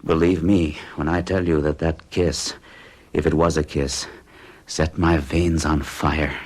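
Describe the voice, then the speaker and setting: A man's voice: very deep voice, flat delivery, unhurried, darkish tone. An actor playing a bewitched middle-aged bachelor in an old-time radio drama, speaking huskily with intense, hesitant confessional delivery. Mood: haunted, confessional. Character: forty-one-year-old orderly bachelor, bewitched and bewildered.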